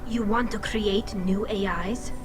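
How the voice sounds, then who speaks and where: A woman's voice: mid-range voice, fairly even intonation, measured pace, natural voice. A woman playing an earnest, loyal young alien engineer in a video game, polite but resolute in manner. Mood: guarded, pointed.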